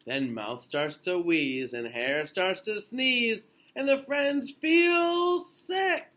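A man in his thirties reading a children's picture book aloud in an expressive, sing-song way with drawn-out phrases. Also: sits high. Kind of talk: children's storybook read-aloud. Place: small indoor room.

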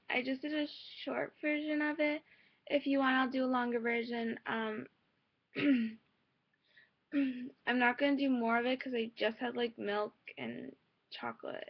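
Young female vlogger, slightly high voice, informal rambling chat, muffled lo-fi laptop recording. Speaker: amateur singer and vlogger. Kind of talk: casual chat to viewers. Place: bedroom, indoors. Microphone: laptop's built-in mic at arm's length. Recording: dull lo-fi laptop microphone.

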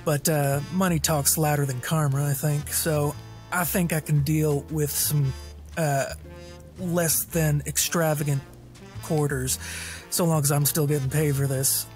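A roleplayer puts on a mercenary tough for a tabletop podcast. The voice is slow, laid-back and folksy, confident despite pauses, with faint music behind. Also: medium pitch, flat delivery, darkish tone, natural voice.

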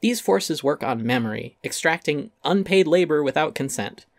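A man's voice: on the high side, animated, normal speed, no room sound. Man reading an essay, dry close sound. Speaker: essay narrator. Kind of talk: dramatic reading of an essay, scripted narration.

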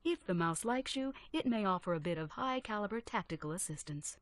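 A woman voicing a calm instructional narrator in a video game, measured and explanatory. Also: medium pitch, no echo, lightly acted. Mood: informative. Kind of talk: scripted narration.

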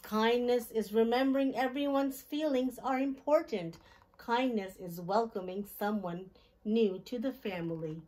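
A middle-aged woman hosting a children's storytime, reading a picture book aloud in simple, deliberate phrases and putting questions to her young listeners. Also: fairly high pitch, a little mellow, low-quality recording. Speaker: children's storytime host and reader. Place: small decorated indoor room.

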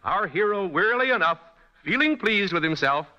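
A man's voice: high-pitched, lively intonation, moderate pace, bright, forceful tone. A man hosting a 1940s radio show, announcing and narrating from a script. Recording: dull, noisy old radio recording, long reverb.

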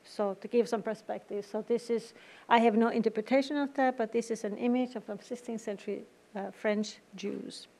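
A middle-aged Finnish woman lectures from a podium in a large auditorium, her voice sitting a little high. Her Finnish accent colours her English, and she keeps the talk conversational with wry little asides. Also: fairly even intonation, moderate pace, warm tone. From Finland. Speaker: guest lecturer. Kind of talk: university lecture. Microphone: podium microphone.

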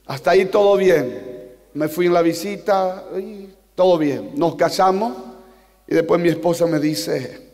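A man in his forties from Paraguay with a Paraguayan Spanish accent, Guarani as his first language, preaching a passionate sermon into a handheld mic, climbing to shouts and slowing down for emphasis. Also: sits high. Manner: impassioned, rising to shouts, slows for emphasis. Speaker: pastor. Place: large church hall on a stage. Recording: long reverb of a large hall.

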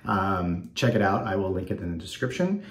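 A man's voice: low-pitched, sing-song, moderate pace. A man in his forties, slightly stuffed up, rambling casually with lots of ums while he shaves and talks to the camera at home. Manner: rambling, many ums, easygoing. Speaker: wet shaving channel host. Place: small bathroom at home, indoors. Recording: echoey bathroom.

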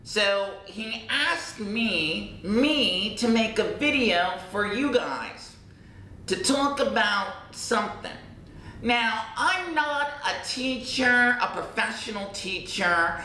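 A man's voice: takes it slowly, exaggerated voice. A middle-aged man playing his own chatty, self-doubting cousin, an English learner, talking to camera in a small room. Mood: earnest. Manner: animated, gestures a lot, repeats and restarts. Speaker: English teacher in character. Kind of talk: playful character monologue to camera. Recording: roomy echo, faint rumble.

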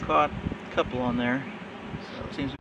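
A man's voice: medium pitch, fairly even intonation, normal speed, lo-fi sound. A middle-aged man chatting casually as he films an outing outdoors with a handheld camera. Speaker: vlogger. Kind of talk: casual chat while filming an outing. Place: outdoors by a river and campsite. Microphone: handheld camera, held by speaker. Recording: noisy outdoors, water and wind noise.

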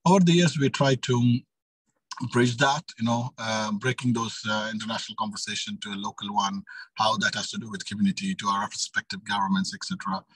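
A man in his thirties speaks as a panelist on a video call. His voice is fairly low and rough-edged, and he feels his way through explanations with repeated words. The sound is dry and close. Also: steady tone, brisk, fairly bright tone, no room sound, low-quality recording. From Middle East. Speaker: youth organization leader, panel speaker. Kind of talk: panel talk, semi-spontaneous explanation. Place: indoor room on a video call.

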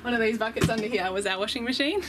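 A woman's voice: fairly high pitch, racing, heavy background noise. Chatty upbeat woman on a boat. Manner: chatty and upbeat, explaining gear with frequent ums. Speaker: vlogger giving a boat tour. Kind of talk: casual walkthrough vlog. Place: small cabin of a sailboat, indoors. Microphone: handheld camera close by.

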